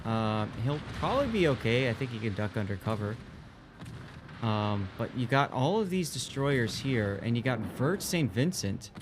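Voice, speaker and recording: A man's voice: mid-range voice, big pitch swings, moderate pace, noisy background. A man giving live play-by-play on an esports match in a casual, colloquial way. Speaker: esports co-commentator. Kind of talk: live play-by-play game commentary.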